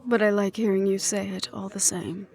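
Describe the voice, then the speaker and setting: A wry ex-hunter woman, played by a voice actress in an intimate audio drama. Her voice is mellow and relaxed at a middle pitch. She talks softly and takes her time, teasing and affectionate, with a weary edge from family strife. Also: vocal fry, slightly rough. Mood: teasing, fond. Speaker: voice actor in audio roleplay. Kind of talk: intimate one-sided audio roleplay acting.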